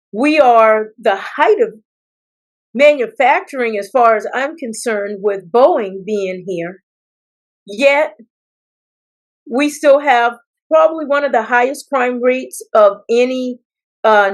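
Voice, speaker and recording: An American woman from South Carolina answering interview questions as a political candidate, speaking in deliberate phrases with pauses. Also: fairly high pitch, rough recording. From South Carolina, United States. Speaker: political candidate, attorney and former judge.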